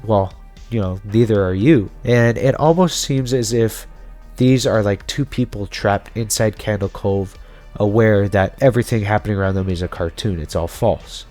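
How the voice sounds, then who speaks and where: Male host, lowish voice, informal theorizing explainer, faint music underneath. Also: darkish tone. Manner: conversational, speculative, informal. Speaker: host of horror series explainer videos. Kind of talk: casual explainer commentary with theorizing. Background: background music.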